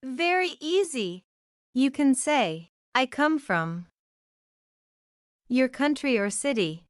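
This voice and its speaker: A woman leads a beginner English lesson in a high, bright voice. Her pitch jumps about as she speaks slowly and clearly. The sound is dry and close, with quiet music behind her. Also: big pitch swings, no echo. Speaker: English teacher.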